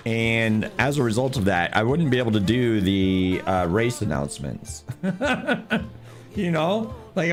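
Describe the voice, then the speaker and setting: A hoarse man with a lost voice that has turned unusually deep, speaking quietly as he plays a game on stream. He talks slowly in a low register, chatting to viewers and chuckling at himself. Faint music plays softly underneath. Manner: talks quietly to spare his voice. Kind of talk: casual livestream commentary while playing.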